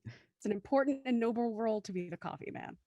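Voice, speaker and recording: A woman with a high-pitched voice leads a casual group chat as host. The sound is close and dry, and the delivery is playful and conversational. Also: expressive, fast, dark tone, dry recording, lo-fi sound. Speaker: host of a cast discussion panel. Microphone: close mic on a video call.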